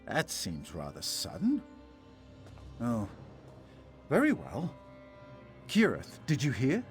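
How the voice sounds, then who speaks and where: A man's voice: medium pitch, wildly swooping pitch, slow pace. A man voicing a genteel, slightly pompous traveller in a video game, with formal, wordy, apologetic speech. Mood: surprised, pleased. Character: genteel, fussy, slightly pompous male traveller seeking honest work. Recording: music behind the voice.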